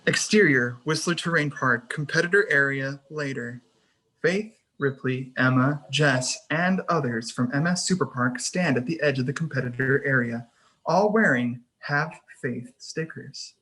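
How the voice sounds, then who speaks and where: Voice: mid-range voice, a little slow, fairly bright tone, rough recording. A narrator reading screenplay action lines and scene headings in a scripted table read.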